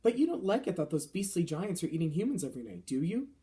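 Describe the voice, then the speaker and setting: Casting: male reader as brave young storybook girl, appalled and questioning, urgent delivery, swooping intonation, slightly lifted pitch. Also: big pitch swings, fast talker, warm tone. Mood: protesting. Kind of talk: children's storybook character voice.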